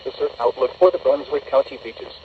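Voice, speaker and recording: A synthetic American man's voice reading a measured, flat weather bulletin through a small radio speaker. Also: mid-range voice. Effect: heard through a small radio speaker. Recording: dull, distant small radio speaker, noisy.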